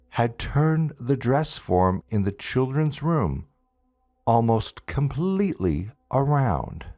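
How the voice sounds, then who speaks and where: A man narrates a spooky tale at a very slow, deliberate pace. His voice sits on the low side and swings widely in pitch for dramatic effect, drawing words out. The recording is very dry and close, with music playing underneath. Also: a little mellow, no room sound. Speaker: host and narrator of a ghost story channel. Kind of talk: scripted spooky storytelling narration.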